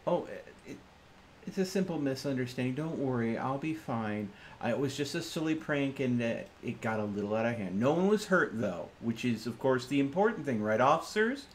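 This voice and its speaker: A man plays a placating, wheedling charmer in a tabletop roleplaying game. He is apologetic and hesitant, with a lively, swinging pitch over light background noise. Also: normal speed, lightly acted. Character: charming smooth-talking prankster man, placating and sheepish. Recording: noisy game stream.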